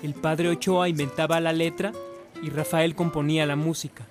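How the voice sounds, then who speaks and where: A man's voice: fairly high pitch, level tone, moderate pace, over music, lightly acted. A man reading scripted narration for a radio drama.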